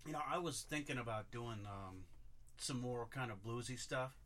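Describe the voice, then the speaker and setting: Loud, resonant male radio DJ. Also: mid-range voice, normal speed, heavy background noise. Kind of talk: casual on-air chat.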